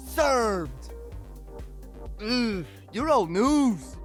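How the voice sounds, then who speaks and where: Young game hero musician: upbeat, laid-back, playful, notably high male voice. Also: very animated, extremely slow, a bit bright, soft background music, heavily acted. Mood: teasing. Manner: playful, breezy. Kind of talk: video game voice lines.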